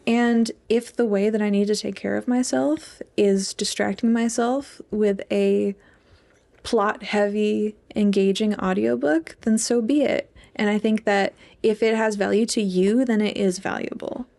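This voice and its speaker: A young adult woman speaks as a podcast co-host in an easy, conversational style. Her voice sits at a medium pitch with noticeable creak, and she is affirming, peppering her sentences with "like". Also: steady tone, measured pace, mellow. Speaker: podcast co-host, English PhD student. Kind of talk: casual podcast chat.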